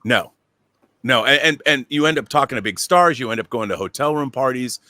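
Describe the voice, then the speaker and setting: A man telling anecdotes in a casual, excitable and emphatic way on a pop culture talk show. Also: medium pitch, a little fast, no room sound.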